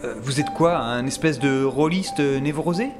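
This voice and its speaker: A man's voice: medium pitch, a little slow, music in the background, close to an everyday voice. An actor playing an indignant, sarcastic young scientist held captive in an audio drama, mouthy and informal as he protests. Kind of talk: scripted audio drama acting.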